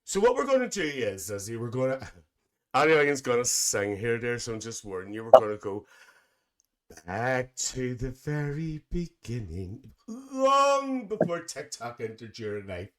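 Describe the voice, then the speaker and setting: A teasing, chatty auntie with a Northern Irish accent , acted out by a man. The pitch sits at an ordinary level for a male voice. The host talks in a comedic interview style, repeating phrases and addressing people as dear. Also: very animated, measured pace, a bit bright. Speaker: podcast host.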